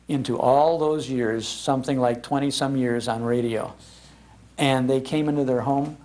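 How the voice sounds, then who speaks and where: An older American man giving an informal, anecdotal public talk in an animated, conversational manner. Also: mid-range voice. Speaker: speaker on old-time radio history, broadcaster. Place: public library talk before an audience.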